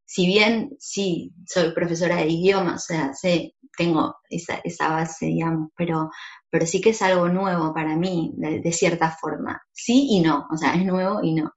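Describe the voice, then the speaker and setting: Woman, low gravelly voice, hesitant, flat delivery. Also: normal speed, dull audio. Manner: hesitant, many fillers. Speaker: French teacher. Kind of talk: casual group discussion.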